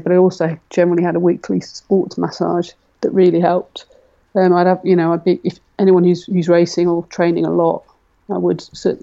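A woman's voice: lowish voice, vocal fry, dark tone, dull audio. A woman in her forties, a guest athlete, talking casually in an interview and thinking aloud with lots of fillers. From Britain. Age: 40s.

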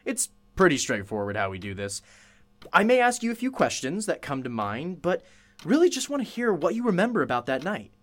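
A man's voice: fairly high pitch, huge pitch swings, a little fast, fairly bright tone. A man playing a chatty, courteous radio show host interviewing a witness in a radio play, effusive and apologetic. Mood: chatty, upbeat.

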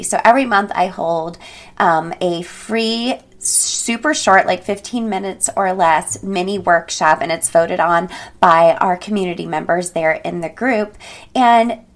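A woman in her thirties hosting a podcast, talking casually and upbeat, hoarse from a cold and laughing often. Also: medium pitch. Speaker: podcast host and personal trainer.

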